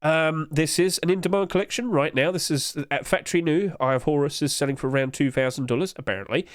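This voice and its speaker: A man's voice: on the high side, quick pace, dry recording, clean studio sound. A man with a blocked-up nose, chatting casually over his screen about in-game item prices, loose and full of fillers. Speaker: gaming market commentator. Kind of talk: casual screen-share commentary.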